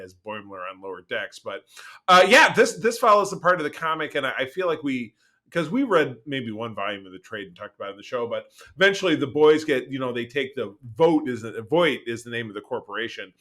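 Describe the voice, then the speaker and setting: A man co-hosting a pop-culture podcast in casual, rambling and excitable chat, with some swearing. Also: medium pitch, brisk. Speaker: podcast co-host.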